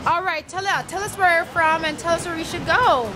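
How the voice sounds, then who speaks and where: A woman's voice: very high-pitched, a little fast, music in the background. Bubbly young woman vlogging in a crowd. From Canada. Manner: bubbly and playful, laughing often. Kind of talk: casual vlog chat. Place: busy city street and crowded store. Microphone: handheld camera at arm's length. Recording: loud crowd and street noise.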